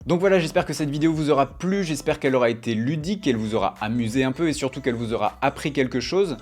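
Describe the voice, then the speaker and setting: A man, presenting a casual music software tutorial on a close studio microphone in a home room. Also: medium pitch, expressive, fast. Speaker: music production tutorial host. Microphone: studio microphone close in front.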